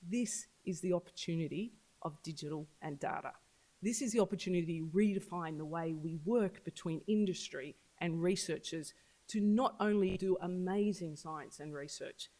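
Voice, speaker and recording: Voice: normal speed, mellow. An agtech business leader giving an earnest launch speech to an audience at an event. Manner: earnest, pitching, repeats for emphasis. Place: event venue.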